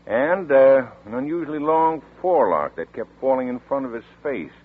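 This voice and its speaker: An actor in a 1940s radio drama playing an efficient young sheriff who briefs in a brisk, matter-of-fact way, with a husky voice. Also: male voice, mid-range voice, expressive. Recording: tinny old radio broadcast.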